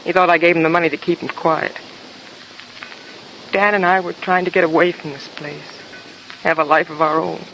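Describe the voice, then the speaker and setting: A woman's voice: fairly low pitch, steady tone, moderate pace, gravelly, pauses often, fairly bright tone. An actress playing a bitter, despairing young woman in a 1950s radio drama, speaking in short, weary, dramatic phrases. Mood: despairing, resigned. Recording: noisy vintage radio recording.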